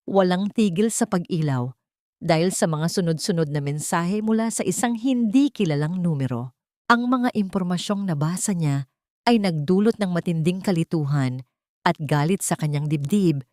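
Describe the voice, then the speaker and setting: A woman's voice: fairly low pitch, mellow, dry recording, clean studio sound. A woman reading a dramatic scripted story as its narrator.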